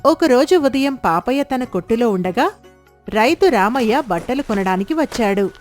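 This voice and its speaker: A woman's voice: a little high, expressive, moderate pace, a little mellow, faint music behind. A woman narrating a scripted moral story as the storyteller.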